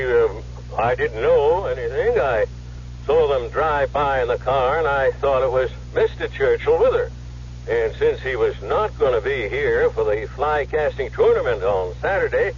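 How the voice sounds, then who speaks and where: An actor playing a hesitant, plain-spoken small-town sheriff in a radio drama, rambling in a homespun way. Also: male voice, medium pitch, animated, a little slow, close to an everyday voice. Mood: sheepish, folksy. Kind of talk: 1970s radio drama acting. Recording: distant lo-fi radio sound, faint hum.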